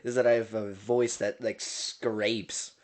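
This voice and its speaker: A man's voice: on the low side, flat delivery, takes it slowly. A man co-hosting a casual podcast who cuts in with short dry teasing jokes. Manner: dry, teasing one-liners. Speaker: podcast co-host.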